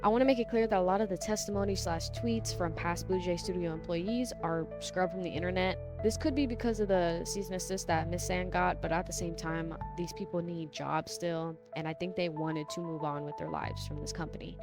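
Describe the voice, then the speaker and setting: A woman's voice: fairly low pitch, fairly even intonation, brisk, few pauses. A woman giving casual video commentary in an informal, opinionated way. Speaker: YouTube commentator. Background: music behind the voice.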